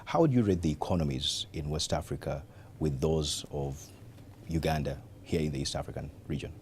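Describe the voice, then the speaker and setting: A man with an exceptionally deep voice conducts a formal interview. He poses his questions as an interviewer would, with slight ambient noise behind him. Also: moderate pace, darkish tone.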